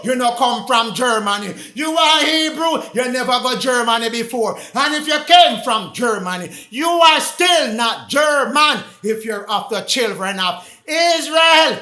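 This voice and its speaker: A man delivering a fervent, confrontational sermon, emphatic and repetitive, addressing his listeners directly and often raising his voice to a shout. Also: very high-pitched. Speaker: preacher. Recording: echoey, roomy sound.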